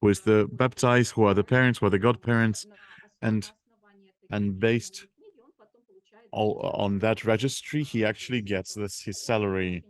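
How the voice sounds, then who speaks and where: Low-voiced man interpreting haltingly. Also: fairly even intonation, clean tone. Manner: halting, piecing phrases together with repeats. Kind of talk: live interpreting of a seminar.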